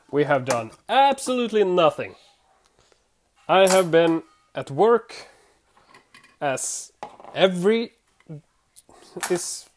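A podcast host with a Swedish accent talks slowly and haltingly in English, stopping to hunt for words and chuckling at the slips. Faint clinks of dishes and cutlery sit in the background. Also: medium pitch, slight background noise. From Sweden. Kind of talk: casual podcast monologue.

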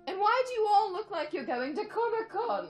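A woman's voice: very high-pitched, animated, fairly quick, soft background music, voice pushed up. A woman voicing a smug, sarcastic male secret agent, with a put-on British accent and a wisecracking manner.

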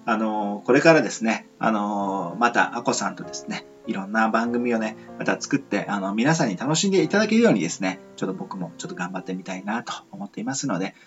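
A man's voice: fairly low pitch, expressive, quick pace, few pauses. A man hosting a casual radio talk show in a polite, rambling, hesitant way. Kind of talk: casual interview and sign-off chat. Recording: muffled lo-fi with faint music.

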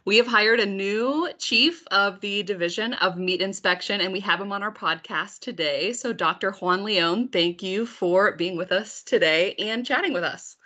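A woman's voice: medium pitch, normal speed, hardly any pauses, sharp tone. A woman hosting a podcast interview in a conversational style. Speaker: podcast host.

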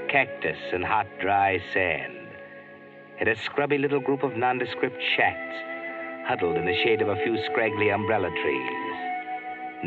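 Man doing a spooky old radio narrator, low, slow and ominous, tinny phone-like sound, music behind. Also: close to an everyday voice. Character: mysterious male narrator who walks by night. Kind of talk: 1940s radio drama narration.

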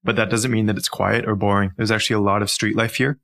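A man's voice: on the low side, little pitch movement, fast, gravelly, a bit bright. A man with a Canadian accent answering as a podcast guest in an articulate, conversational, explanatory way. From Ontario, Canada. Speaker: interview guest, urban planning professional.

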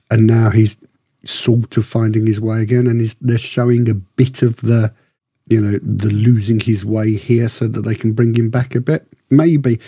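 A man co-hosting a podcast, chatting casually about TV in a halting, rambling way that circles back on itself. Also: on the low side, mellow, breathy edge, no echo. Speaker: podcast co-host. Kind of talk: casual discussion of TV shows.

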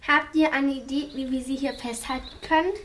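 High-voiced eager girl detective played by a female. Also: steady tone, a little fast, fairly bright tone. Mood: determined. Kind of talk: amateur German radio play acting. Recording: noisy amateur recording with clicks.

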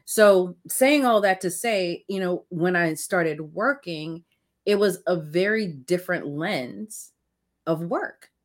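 A woman's voice: fairly low pitch, takes it slowly. An American woman hosting an interview podcast, building her questions thoughtfully with many fillers. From United States. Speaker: podcast host. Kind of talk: casual interview conversation.

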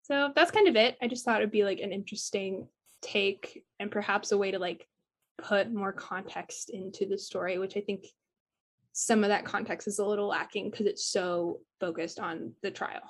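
Young woman rambling casually, says like constantly, mid pitch. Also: fairly even intonation, normal speed. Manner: rambling, heavy "like" fillers. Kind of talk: casual chat.

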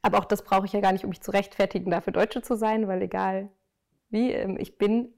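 A woman's voice: medium pitch, fairly even intonation, brisk, gravelly, a little mellow. A young woman from Germany answers interview questions. She talks in an earnest, reflective way, often stopping on small fillers while she finds her words. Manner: thoughtful, many fillers, earnest. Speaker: interview guest.

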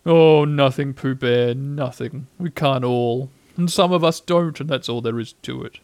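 A man voicing a gloomy, self-pitying old grey donkey from a children's storybook, drawling slowly and sarcastically. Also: mid-range voice, a little mellow, dry recording, lightly acted. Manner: gloomy, slow, drawn-out, sarcastic.

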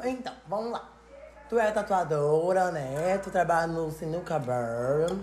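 A woman's voice: low-pitched, measured pace, darkish tone, a little hiss or hum. Young woman, chatty and giggly, in an echoey room. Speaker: guest and co-interviewer. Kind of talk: casual joking chat between friends.

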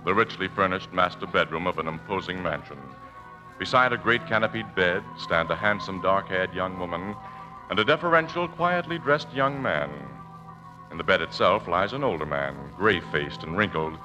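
A man's voice: sits low, moderate pace. A man announcing and narrating an old radio show in a formal, dramatic scripted style. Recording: dull vintage radio recording, music behind. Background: music.

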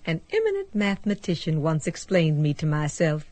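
An actress playing a whimsical, scatterbrained space traveler who rambles airily in a radio drama. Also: female voice, low voice, huge pitch swings, moderate pace, darkish tone, dry recording. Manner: rambling, airy, matter-of-fact about absurdities.

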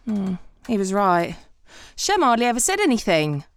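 A woman's voice: mid-range voice, sing-song, normal speed, clear, resonant tone, no echo, close to an everyday voice. An actress playing a sceptical, outspoken woman in a comic audio drama, musing aloud and blurting out sardonic doubts. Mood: curious, musing. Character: sceptical, outspoken woman aboard Noah's Ark, questioning everything.

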